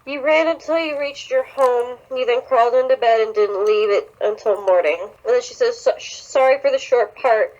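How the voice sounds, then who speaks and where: A woman's voice: fairly high pitch, normal speed, hardly any pauses, darkish tone, highs cut off. A woman co-hosting a podcast, reading fan fiction aloud and mocking it with blunt, sweary, sarcastic asides. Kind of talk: casual comic banter and mock-dramatic reading.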